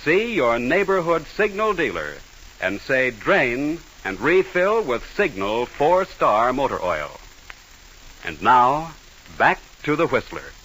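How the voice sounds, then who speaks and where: American man, 1940s radio announcer, medium pitch, highly animated intonation, emphatic salesman polish, vintage broadcast sound. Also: slow pace, slight background noise, low-quality recording.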